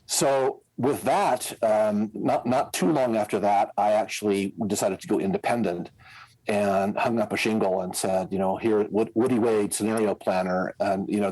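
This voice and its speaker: Husky-voiced American man in his sixties. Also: fairly low pitch, a little mellow, rough recording. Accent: American Midwest. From United States. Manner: rambling, many fillers and restarts. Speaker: guest, scenario planner. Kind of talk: casual interview talk.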